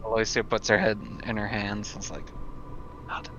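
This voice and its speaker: A man's voice: on the low side, completely flat delivery, moderate pace. A man playing in a tabletop roleplaying game, talking casually in and out of character. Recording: dull lo-fi sound, faint noise.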